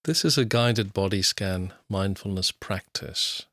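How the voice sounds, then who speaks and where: Male mindfulness teacher, lowish voice, dry close sound; calm, slow guided meditation delivery. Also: dry recording. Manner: slow, calm, soothing, with long pauses. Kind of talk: guided body scan meditation.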